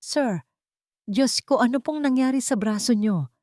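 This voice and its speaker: A woman's voice: fairly high pitch, measured pace, mellow, no room sound, voice pushed up, natural voice. Casting: woman to play old male chauffeur in an audio drama, fretful, humble, respectful, close dry recording. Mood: alarmed, worried. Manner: polite, servile, fretful. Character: anxious, deferential elderly male family driver.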